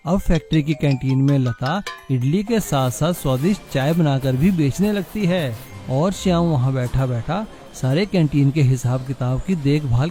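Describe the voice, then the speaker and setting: A man's voice: a little high, mellow. A man narrating a scripted moral story as a storyteller.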